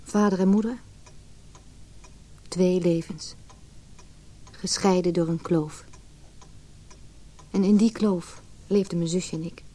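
Wounded anxious wife, dark soft-edged voice, lowish, introspective radio play delivery. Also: female voice, fairly even intonation, slow pace, long pauses. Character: anxious, wounded married woman recalling her lonely childhood. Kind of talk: Dutch radio play acting.

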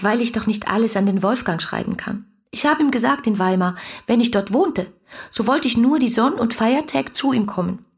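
An actress playing an ardent, dreamy young letter writer, breathy and confiding, in a radio play. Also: female voice, a little high. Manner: musing, confiding, intimate.

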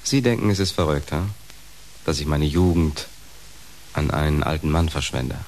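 A resigned, devoted young man in a radio play. He speaks in a low, languid voice with wry, wistful irony, on a faintly hissy recording. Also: normal speed, darkish tone. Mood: resigned devotion. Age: young adult. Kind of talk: radio drama acting.

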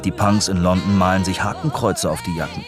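A man's voice: deep voice, steady tone, a little fast, music in the background. A man reading scripted narration for a radio documentary.

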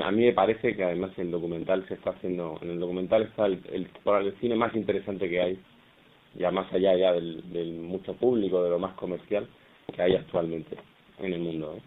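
A man, a film director, answering at a press conference in a halting way, often repeating words as he thinks. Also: deep voice, steady tone. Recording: muffled phone-like press conference audio.

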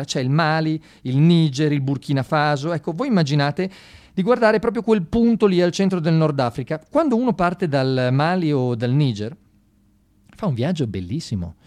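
A man's voice: fairly high pitch, darkish tone. A man delivering an informal satirical monologue on radio, ironic and mock-enthusiastic, talking straight to his listeners in colloquial language. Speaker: radio monologue performer and storyteller. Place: radio studio.

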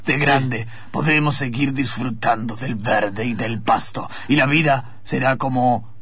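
A man playing an excitable, self-mocking old time-travelling professor with an Argentine accent, in an improvised radio comedy. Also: medium pitch, measured pace, husky, no echo. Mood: earnest, urgent. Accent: Argentine, Rioplatense.

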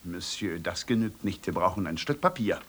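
An actor playing a bossy, short-tempered French radio director in German radio comedy, officious and pedantic, barking orders. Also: male voice, low-pitched, lively intonation, normal speed, breathy edge. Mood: officious, dictating. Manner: commanding, pedantic, barks orders.

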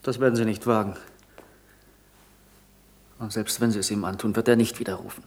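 An actor playing a defiant, fervent disciple in a German radio play, earnest and insistent. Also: male voice, fairly low pitch, steady tone, a little fast, lightly acted. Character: defiant, fervent male disciple with unshaken faith.

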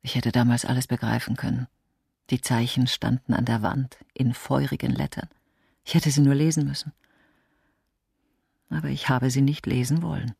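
Low, mellow, wistful woman. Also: flat delivery, normal speed, vocal fry, long pauses, breathy edge, exaggerated voice. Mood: wistful, regretful. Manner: confiding, reflective. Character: wistful, passionate woman recalling a past love affair. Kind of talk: radio drama acting.

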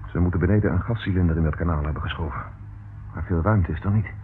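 An actor playing a shrewd, decisive detective who calmly takes command in a radio drama. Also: male voice, deep voice, fast, lightly acted. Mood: tense, hushed. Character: shrewd, cool-headed male detective taking command in danger. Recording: old lo-fi radio recording, faint noise.

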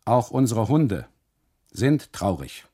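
A man's voice: medium pitch, sing-song, takes it slowly, warm tone, husky, pitched above the performer's own voice, full character voice. A man voicing a mocking, leisurely custodian in a literary radio monologue, speaking formally and declaiming without hurry. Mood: declamatory, mocking. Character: mocking, leisurely smoking male custodian, coolly expansive.

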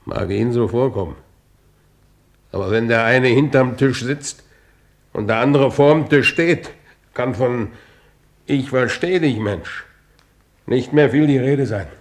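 A man acts a ruined coachman from an old radio play, with an average-pitched male voice. He speaks bluntly, with bitter sarcasm and defiance, accusing those above him. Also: measured pace, long pauses, natural voice. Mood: sardonic, resigned. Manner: blunt, sardonic, embittered. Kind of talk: 1950s German radio play acting.

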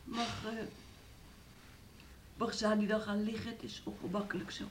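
A young woman lying ill in bed played by an actress in a radio drama. Her voice is medium in pitch, and she speaks in a plaintive, wounded manner, pleading with the man she is engaged to. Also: steady tone, normal speed, a little hiss or hum, lightly acted. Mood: meek, weary. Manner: plaintive, speaks of herself in third person. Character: sick, bedridden young fiancée, pleading and wounded. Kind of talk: radio play acting.

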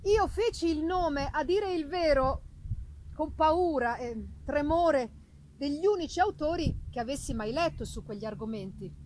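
A woman giving a dramatic literary narration in the first person, deliberate and theatrical, pausing for effect. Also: sits high, expressive, darkish tone, poor audio. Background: live musical accompaniment.

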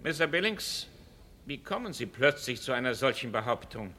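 An actor playing a stern, formal judge with a commanding manner in a 1970s radio crime play. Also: male voice, mid-range voice, sing-song, moderate pace, sharp tone. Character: stern, formal male judge presiding over a trial.

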